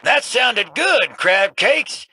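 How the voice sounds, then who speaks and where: A drawling cocky space scavenger. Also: male voice, very high voice, sing-song, drawn-out words, bright, forceful tone, slightly rough, full character voice. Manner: drawling, teasing. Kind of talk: video game character dialogue.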